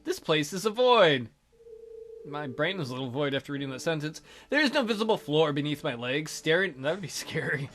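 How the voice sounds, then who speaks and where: A man's voice: on the high side, very animated, brisk, a bit bright, slight background noise. A man hosting a let's play, reading a visual novel aloud theatrically with goofy, crude joking commentary.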